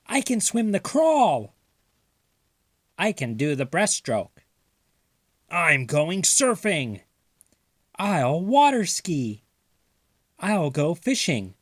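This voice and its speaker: A man voicing excited little mouse children in a storybook, with eager, exclaiming calls. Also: no room sound, studio quality, heavily acted. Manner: high, exclaiming, drawn-out calls. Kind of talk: storybook read-aloud character voice.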